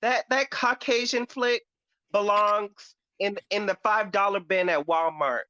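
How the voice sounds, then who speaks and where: A man with a bright, forceful voice argues on a casual panel show. He is sassy and blunt, full of slang and swearing. The sound is close and dry, a little dull. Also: high voice, unhurried, dry recording. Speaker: podcast panelist. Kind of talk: heated casual debate.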